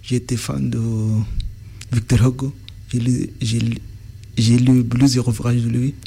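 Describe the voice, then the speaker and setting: A man's voice: mid-range voice, level tone, measured pace, gravelly, warm tone, breathy edge. A young man from Sudan, a native Arabic speaker, answering interview questions in French with frequent restarts as he searches for words.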